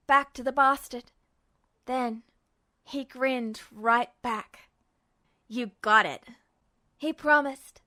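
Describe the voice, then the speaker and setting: A woman reading fan fiction aloud as scripted narration, with dramatic, expressive storytelling. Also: high-pitched. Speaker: amateur audiobook narrator.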